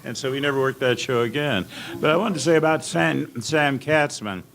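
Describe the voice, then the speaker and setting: An older American man telling anecdotes as a guest on an informal panel, halting and rambling as he reminisces. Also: medium pitch, a bit bright. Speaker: panel guest at old-time radio convention. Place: indoor convention panel room. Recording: clearly noisy convention room recording.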